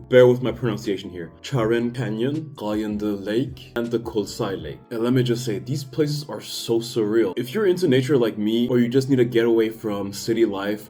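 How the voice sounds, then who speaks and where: A man's voice: medium pitch, a little mellow. Chatty young Danish man narrating. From Denmark. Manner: chatty and self-deprecating, joking asides. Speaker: travel vlogger. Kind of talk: casual voice-over narration. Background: faint background music.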